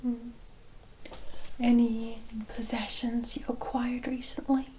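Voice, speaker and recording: A woman playing a mysterious, soothing fortune-telling forest witch in an ASMR roleplay, speaking in a breathy whisper with deliberate, drawn-out phrasing. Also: a little high, flat delivery, darkish tone, a little hiss or hum, poor audio. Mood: mysterious, grave. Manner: slow, deliberate, drawn-out phrasing with incantation-like repetition.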